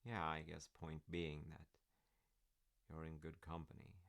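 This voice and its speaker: A man playing a warm, rambling father in an audio roleplay, telling stories haltingly with wry asides to his child. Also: extremely low pitch, completely flat delivery, vocal fry, no room sound, full character voice. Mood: gentle, reassuring. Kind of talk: audio roleplay, intimate storytelling.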